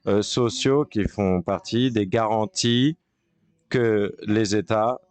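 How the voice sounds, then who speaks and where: A man working as a conference interpreter, giving a halting simultaneous interpretation of a panel discussion with frequent pauses and restarts. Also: on the low side, steady tone, darkish tone.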